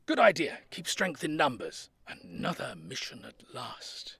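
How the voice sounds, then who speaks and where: An actor playing a brisk, self-important retired soldier in an audio comedy, with a husky, emphatic delivery. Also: male voice, fairly high pitch, very animated, fairly bright tone, no room sound, full character voice. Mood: keen, grumbling. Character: elderly ex-army man, self-important, brisk, grumbling planner. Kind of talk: audio comedy drama acting.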